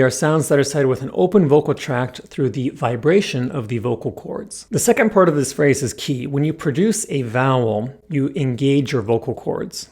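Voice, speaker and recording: A man narrating a scripted pronunciation lesson, explaining clearly and demonstrating sounds with care. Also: mid-range voice, darkish tone. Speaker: language teacher.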